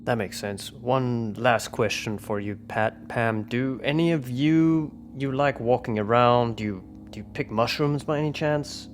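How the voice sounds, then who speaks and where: A man's voice: mid-range voice, a little slow, few pauses. A man playing a tabletop roleplaying game, improvising dialogue in a casual way. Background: faint background music.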